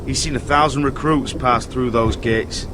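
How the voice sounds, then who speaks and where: A man's voice: medium pitch, steady tone, normal speed, background noise, natural voice. An actor playing a stern, seasoned watchman with grief under his words, in a fantasy video game drama. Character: stern seasoned watchman, grieving a lost brother. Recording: loud rain and storm ambience.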